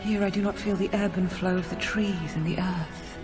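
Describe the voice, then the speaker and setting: An actor voicing an ancient forest spirit creature in a fantasy game, slow, solemn and poetic. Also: medium pitch, steady tone, over music, close to an everyday voice. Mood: solemn foreboding. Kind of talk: video game cutscene acting.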